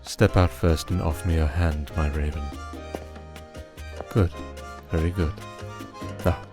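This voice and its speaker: A man playing a cold vampire archduke and crime lord in an ASMR roleplay, speaking in a measured, formal, condescending way. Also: extremely low pitch, steady tone, music in the background. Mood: composed, commanding. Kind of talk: ASMR roleplay audio drama.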